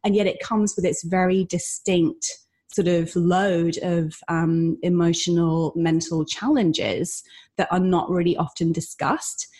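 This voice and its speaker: A woman's voice: lowish voice, dark tone. A woman hosting a podcast interview, talking in a reflective, rambling way with many fillers and restarts. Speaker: podcast host. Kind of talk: conversational interview.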